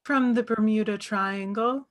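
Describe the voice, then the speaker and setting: A woman playing a morbid, solemn little gothic girl with a deadpan delivery in a comedy table read. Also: fairly high pitch, a little slow, close to an everyday voice.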